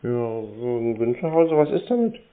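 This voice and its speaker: A man's voice: medium pitch, sing-song, a little slow. A man in casual phone banter, answering tersely and teasingly with a straight face. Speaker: prank call taker stringing scam callers along. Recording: muffled narrow-band phone call audio.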